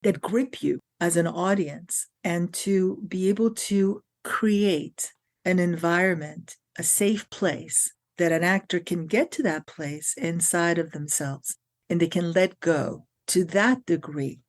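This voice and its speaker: A middle-aged woman, her voice sitting a little low. She talks in an articulate, emphatic way, stacking phrase upon phrase as she explains her craft on a podcast. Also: takes it slowly. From France. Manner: articulate and emphatic, builds long lists of phrases. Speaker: guest, acting teacher and film director. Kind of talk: podcast interview.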